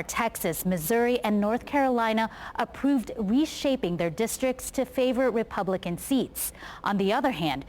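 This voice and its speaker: A woman working as a TV news reporter, narrating a scripted news report. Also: medium pitch.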